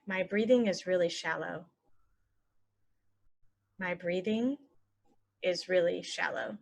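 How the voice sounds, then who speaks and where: A woman teaching English phrases, saying each one and then repeating it slowly and clearly for learners. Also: on the low side. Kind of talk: slow phrase-by-phrase language lesson.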